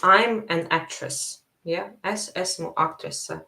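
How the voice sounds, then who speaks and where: A woman with a Latvian accent teaching a live language lesson, slowly repeating phrases for her learners. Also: fairly low pitch, vocal fry, bright, forceful tone, echoey room.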